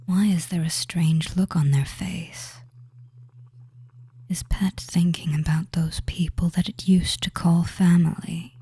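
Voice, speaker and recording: A possessive, doting witch, acted out by a performer in a hypnosis audio roleplay. The voice is a soft, breathy whisper, low and mellow. It moves very slowly, lulling and controlling, with deliberate pauses. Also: steady tone, full character voice. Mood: soothing, controlling.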